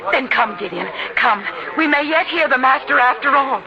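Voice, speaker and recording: A woman's voice: high voice, lively intonation, normal speed, creaky. A woman playing a devout, determined mother in an old-time radio drama, earnest and firm in her delivery. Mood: eager. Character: devout, determined mother, weary but unyielding. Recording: muffled old radio recording, crowd behind.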